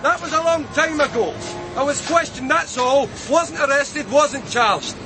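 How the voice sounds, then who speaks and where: An actor playing an indignant company director, curt and defensive, in a radio drama. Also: male voice, very high voice, a little slow, music in the background. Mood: defensive, irritated. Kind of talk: broadcast radio drama acting. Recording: busy outdoor street noise behind voice.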